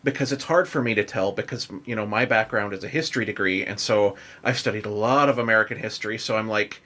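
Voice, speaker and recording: A man's voice: on the low side, fast, sharp tone. A man hosting a podcast in a casual chat, rambling his way through thoughts with plenty of filler "like". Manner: rambling, frequent "like". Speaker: podcast host.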